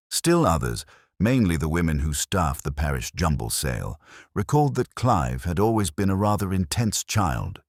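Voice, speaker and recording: A man with an extremely low voice narrates a mystery story. His delivery is dry and literary, yet the pitch moves in a lively way, and the sound is close and dry. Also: no room sound. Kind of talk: scripted narration of a wry British village mystery.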